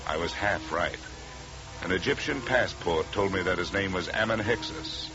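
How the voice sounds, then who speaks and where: An actor playing a tough, wry insurance investigator in a 1950s radio detective drama, sardonic and clipped. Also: male voice, low voice, moderate pace. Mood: dry narrating. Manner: sardonic, clipped, world-weary. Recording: muffled old radio recording, music behind.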